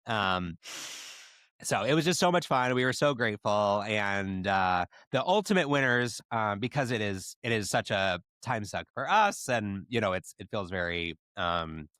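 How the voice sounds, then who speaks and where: A man's voice: lowish voice, expressive, measured pace, a bit bright, no echo, studio quality. A man telling stories in casual podcast chat as one of the hosts. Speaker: podcast host.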